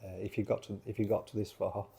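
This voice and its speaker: Rambling South Yorkshire man, low mellow voice. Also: level tone, fast, creaky, slightly rough. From Sheffield, South Yorkshire. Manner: rambling with many fillers and restarts. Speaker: channel creator. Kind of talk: casual rambling chat.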